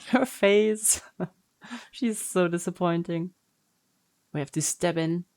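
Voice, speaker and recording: A woman's voice: lowish voice, slow pace, a little mellow. A woman streaming a game with casual, playful, teasing live reaction commentary. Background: game audio and music.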